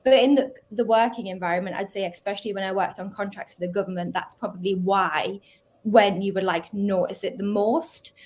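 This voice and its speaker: A woman's voice: mid-range voice, steady tone, brisk, darkish tone. A woman with a Geordie accent, a recruiter, chatting casually on a panel with frequent "like" fillers. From Northeast England.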